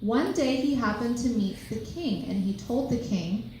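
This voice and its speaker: A woman's voice: a little high, lively intonation, measured pace, warm tone. A woman narrator reading a children's tale aloud with storytelling expression, in a very echoey room with faint noise.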